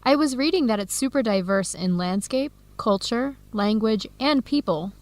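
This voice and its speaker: An actress with an American accent playing an eager, friendly researcher abroad in an educational radio drama, speaking with enthusiasm. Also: female voice, a little high, lively intonation, normal speed, no echo, lightly acted. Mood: excited, pleased. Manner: enthusiastic, encouraging. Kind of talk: radio drama acting, clear educational dialogue.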